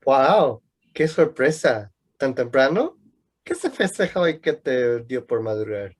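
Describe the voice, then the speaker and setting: A man's voice: mid-range voice, big pitch swings, a little slow, husky. A man acting a sarcastic, lovelorn young student in an amateur radio play, with a mocking, bookish, declamatory manner. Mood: mock surprise.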